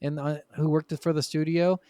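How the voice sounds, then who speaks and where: Higher-pitched man, casual podcast host. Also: little pitch movement, moderate pace, warm tone, clean tone, dry recording. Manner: informal, swears freely. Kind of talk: casual podcast storytelling about video games.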